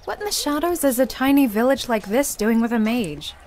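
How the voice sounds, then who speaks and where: Woman playing a sharp-tongued, skeptical necromancer. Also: on the high side, expressive, fairly quick, lightly acted. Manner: sardonic, probing. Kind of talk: visual novel voice acting.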